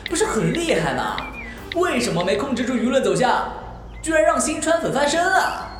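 A woman playing an excitable, theatrical young male idol in a Chinese audio drama. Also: high-pitched, normal speed, roomy sound, music in the background. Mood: whiny, demanding. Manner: theatrical, gushing, pouty.

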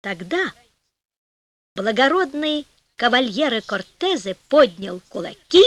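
A woman reads a folk tale with theatrical expression. Her voice is high and her pace is slow and deliberate, in a close, dry recording. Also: big pitch swings, dry recording. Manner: theatrical storytelling. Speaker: audiobook narrator.

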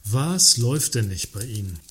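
A man's voice: mid-range voice, animated, normal speed, breathy edge. Man playing a mock-polite, needling staff representative. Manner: mock-polite, provocative, sardonic. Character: needling, smugly polite male works council member. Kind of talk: satirical German radio play acting.

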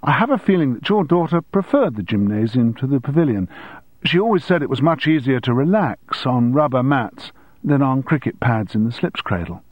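A man's voice: medium pitch, expressive, normal speed, no echo, lo-fi sound, pitched above the performer's own voice, close to an everyday voice. A man voicing a cheeky, unflappable teenage schoolboy in an audiobook, with frank, mock-deferential politeness. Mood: cheekily candid. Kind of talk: audiobook character dialogue.

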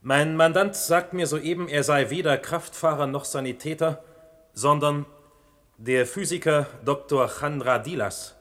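An actor playing a formal, measured defence lawyer in a German radio play, addressing the court in a declaratory manner. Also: male voice, a little high, fairly bright tone. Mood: formal, surprised.

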